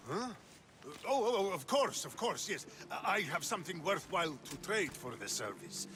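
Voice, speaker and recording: A man's voice: on the high side, sing-song, moderate pace. A man playing a fretful, self-interested grape farmer in a video game, anxious and flustered. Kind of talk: video game cutscene acting.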